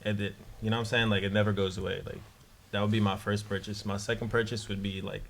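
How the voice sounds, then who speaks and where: A man from Saint Lucia, a guest in a casual podcast chat, speaking in a relaxed, thoughtful way with many fillers. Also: on the low side, fast talker, gravelly, a little hiss or hum.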